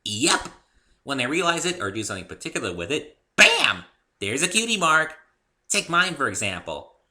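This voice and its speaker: Guy doing a hyper girly cartoon pony, high and bright, super perky and bouncy. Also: big pitch swings, normal speed. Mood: excited. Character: bubbly, excitable young female cartoon pony.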